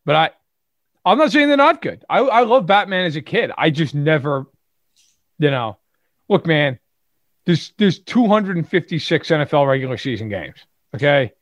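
A man's voice: on the high side, expressive, normal speed, pauses often, no echo. A man with a Brooklyn accent co-hosting a podcast, blunt and emphatic in casual chat. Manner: blunt, emphatic, self-mocking. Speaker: podcast co-host.

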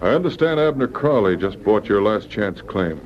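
An actor playing a firm, steady Mountie sergeant in a radio drama, direct and authoritative. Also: male voice, on the low side, moderate pace. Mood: firm, calm. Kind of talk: old-time radio drama acting. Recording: muffled old radio recording, loud background crowd.

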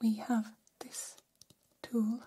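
A gentle, curious alien played by a woman whispers in a soft ASMR roleplay. The delivery is extremely slow and monotone, with formal, careful wording and considerate calm. A faint hiss sits behind the voice. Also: a little high. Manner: slow, deliberate whisper with stilted formal phrasing.

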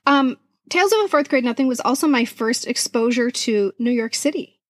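A woman's voice: on the high side, expressive, moderate pace, no room sound, studio quality. A middle-aged American woman telling stories casually and expressively as a podcast co-host. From United States. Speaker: podcast co-host and children's author. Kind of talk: casual conversational storytelling about childhood memories.

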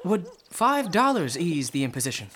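A man's voice: fairly high pitch, sing-song, normal speed, a bit bright, breathy edge, full character voice. A man acting as an eager, polite young researcher in a radio drama, hesitant and stammering as he goes. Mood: nervous, polite. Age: young adult. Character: eager, polite young male amateur genealogist, obsessed with his ancestor. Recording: music behind the voice.

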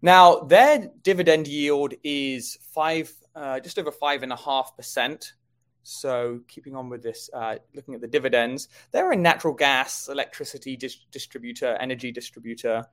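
A man's voice: medium pitch, moderate pace. A man co-hosting a stock market show, giving conversational commentary on companies and markets.

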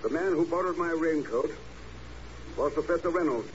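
A man's voice: a little high, normal speed, close to an everyday voice. Dry unflappable spy guy played by a man, composed, hissy dull old radio show. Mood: serious, calm. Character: calm, unflappable male secret agent reporting in. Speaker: espionage agent. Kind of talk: 1950s radio drama acting. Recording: muffled old radio recording with hiss.

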